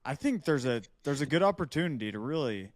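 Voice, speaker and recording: A man's voice: medium pitch, sing-song, fast, no room sound. A man on a podcast panel giving frank advice in casual talk, swearing now and then.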